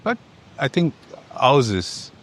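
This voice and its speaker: A man from Malaysia, answering a street interviewer in a confident, articulate way. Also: slow pace. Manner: confident, articulate, lively pitch.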